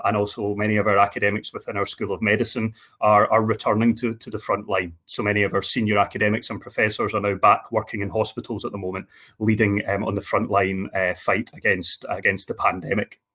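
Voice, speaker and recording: A man's voice: fairly low pitch, a bit bright. A man from Scotland with a Scottish accent, giving an online university presentation with frequent fillers and repeated words.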